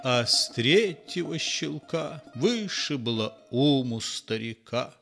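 Мужчина читает сказку, неторопливо. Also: male voice, mid-range voice, takes it slowly, creaky, loud music behind the voice. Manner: theatrical, lively storytelling. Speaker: narrator. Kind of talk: expressive fairy tale reading.

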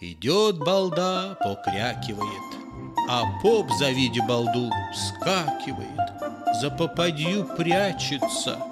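A man reading a verse fairy tale aloud in a theatrical, lively storytelling manner. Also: mid-range voice. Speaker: narrator.

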